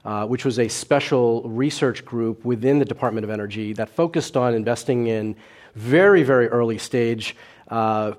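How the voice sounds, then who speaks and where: Measured man pausing with many fillers. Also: medium pitch, a little mellow. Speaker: venture capitalist guest. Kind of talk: panel interview answers.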